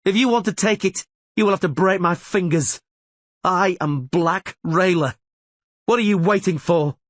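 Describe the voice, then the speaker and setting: A man reading a fierce, defiant woman mercenary who shouts savagely, in a much higher, louder voice. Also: unhurried, dry recording.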